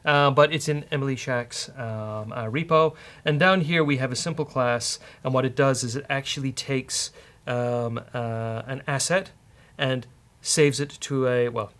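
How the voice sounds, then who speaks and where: A man's voice: mid-range voice, a bit bright. A man hosting a casual programming walkthrough, thinking aloud in a wry, hesitant way. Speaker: host, programming instructor. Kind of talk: casual live-coding walkthrough.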